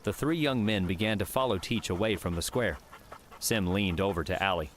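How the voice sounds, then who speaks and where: A man's voice: on the low side, normal speed, slight background noise. A man reading scripted fiction narration as an audiobook narrator.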